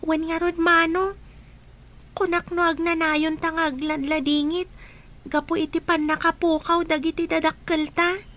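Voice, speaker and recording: A woman's voice: very high-pitched, flat delivery, unhurried, a bit bright. A woman playing an anxious, questioning orphaned child in a radio drama, with plaintive, respectful delivery. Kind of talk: radio drama acting in Ilocano.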